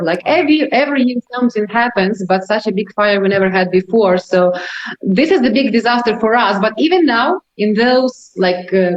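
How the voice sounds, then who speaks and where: A woman from Ukraine with a Ukrainian accent, answering interview questions as a tour guide in conversational non-native English that drops articles. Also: fairly high pitch. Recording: roomy echo, muffled lo-fi, faint noise.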